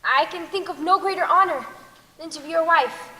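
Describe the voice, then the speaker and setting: A woman's voice: extremely high pitch, normal speed, bright, forceful tone. A young teenage girl acting a brave, resolute daughter in a school stage play. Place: school stage in a large hall. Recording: echoey hall with background noise.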